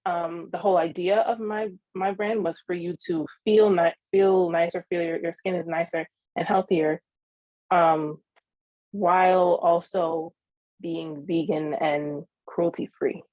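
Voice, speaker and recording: A woman's voice: fairly low pitch, steady tone, measured pace, dry recording, highs cut off. An eighteen-year-old woman from New York answering interview questions about her small business, earnest, with frequent ums and restarts. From New York (Queens). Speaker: young entrepreneur guest.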